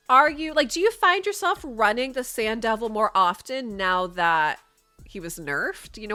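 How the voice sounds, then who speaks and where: A young woman talks her viewers through a game run in a chatty, upbeat way, a little sniffly from a sinus infection. Her voice is bright and quite high and swings widely in pitch. Faint game music plays behind her desk mic. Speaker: gaming content creator. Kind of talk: casual gameplay commentary.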